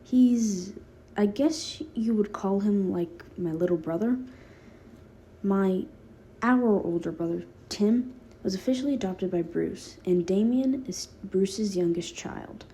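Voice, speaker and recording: A woman's voice: mid-range voice, slow, warm tone, a little hiss or hum. A woman voicing a protective, sardonic teenage boy who talks casually and affectionately in a fan fiction audiobook. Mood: explaining. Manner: casual, rambling teen talk with filler words.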